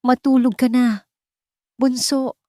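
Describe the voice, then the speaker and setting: Woman doing a young filipina nanny in an audio drama, worried n tender, hushed mellow voice. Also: sits high, flat delivery, takes it slowly, no room sound, clean studio sound, voice pushed up. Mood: tender, soothing. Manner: hushed, trembling, murmuring. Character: anxious, tender young nanny comforting a freezing baby.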